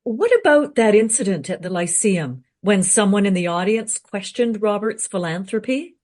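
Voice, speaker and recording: Actress as modern female interviewer, courteous, tactful, probing, medium pitch, radio play. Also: expressive, normal speed, darkish tone, lightly acted. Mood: sympathetic, probing.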